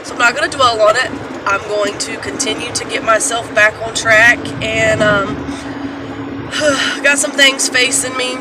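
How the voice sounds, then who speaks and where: A woman chatting casually to her viewers from her car, congested with allergies and sounding deeper than usual, rambling with fillers. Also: a little slow. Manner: rambling, loose, with many fillers. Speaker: vlogger. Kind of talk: casual vlog chat. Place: parked or driving car, morning. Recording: steady car noise behind.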